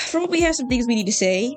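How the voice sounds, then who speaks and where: A woman's voice: on the high side, animated, fast, over music. A young woman hosting her channel, sounding congested and under the weather, chatty and blunt as she ranks logos. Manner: chatty, blunt, laughs often. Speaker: channel host. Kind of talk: casual ranking chat.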